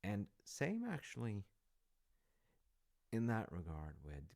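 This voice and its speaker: A man playing a gentle, reflective dad in an unhurried, intimate audio roleplay chat, gravelly and at times breathy. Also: fairly low pitch, wildly swooping pitch, heavily acted. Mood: reflective, tender. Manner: reflective, gently encouraging, pausing. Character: gentle wholesome dad chatting warmly over tea.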